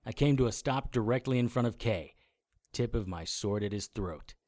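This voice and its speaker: A man's voice: lowish voice, normal speed, darkish tone, natural voice. A man playing a tough, defiant private investigator who hunts monsters, narrating an audio drama in a matter-of-fact, hard-boiled way. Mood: determined.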